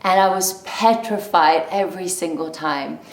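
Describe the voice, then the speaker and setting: A woman's voice: lowish voice, a little slow, echoey room, rough recording. A woman who grew up in Norway, chatting to camera and telling a personal story with laughs along the way. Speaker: vlogger. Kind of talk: casual talk to camera.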